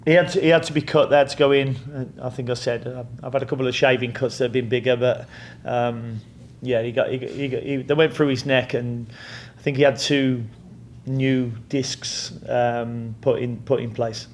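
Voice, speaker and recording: A middle-aged Englishman answers reporters' questions in a level, even-toned voice that stays close to one pitch. He talks plainly and repeats words while he works out his thoughts. A faint hiss sits behind him. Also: moderate pace. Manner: plain-spoken, repeats words while thinking, lots of "you know". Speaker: head coach. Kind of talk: press conference answers.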